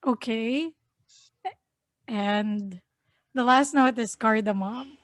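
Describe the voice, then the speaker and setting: A woman's voice: on the high side, dry recording, studio quality. A woman from the Philippines hosting a casual chat, playfully quizzing her guest. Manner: playful, slow and deliberate. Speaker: host. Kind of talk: casual chat, quiz questions.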